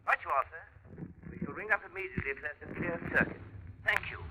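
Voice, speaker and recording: Heavy noise surrounds an actor playing a male telephone operator with a highish voice. He is formal, clipped and courteous, in old 1940s radio drama style. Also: fast, natural voice. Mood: officious, polite. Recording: very noisy old radio recording, distant phone voice.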